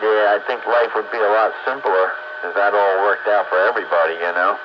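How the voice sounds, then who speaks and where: A man's voice: on the low side, fairly even intonation, fairly quick. A man of sixty-four chatting casually in a folksy, easygoing manner, as an amateur radio operator. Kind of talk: casual chat. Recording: muffled noisy radio audio.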